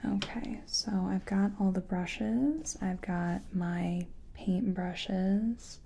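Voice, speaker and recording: A woman speaking in a breathy whisper and soft tones, gently chatting in an ASMR personal-attention roleplay as a makeup artist. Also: medium pitch, drawn-out words, slight background noise.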